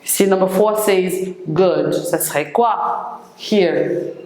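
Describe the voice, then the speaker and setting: A woman's voice: on the low side, takes it slowly, a little mellow. A woman teaching an English lesson, repeating each phrase and translating it into French. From Senegal. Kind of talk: classroom lesson, switching between English and French. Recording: echoey room.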